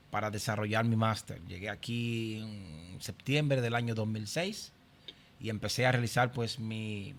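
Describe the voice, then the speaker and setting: A middle-aged Dominican man speaks as a guest pastor in an interview. His pitch sits in an ordinary male range, and he tells his own story in a conversational way. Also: a little hiss or hum. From Santo Domingo, Dominican Republic. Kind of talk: conversational personal testimony.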